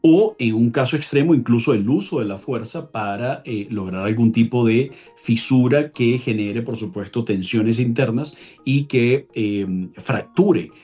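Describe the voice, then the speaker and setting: A man with a rough, husky voice at a middling pitch. He speaks as an expert analyst in an interview, laying out points emphatically and reworking his phrases as he goes. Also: a little slow, few pauses, warm tone, soft background music. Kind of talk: spoken political analysis in an interview.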